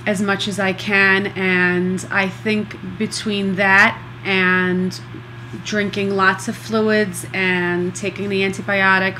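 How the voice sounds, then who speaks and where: Slow, earnest woman with a stuffy nose. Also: medium pitch, bright, forceful tone, a little hiss or hum, poor audio. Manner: earnest, slow, many pauses and fillers. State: congested. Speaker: vlogger recovering from nose surgery. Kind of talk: casual diary-style video.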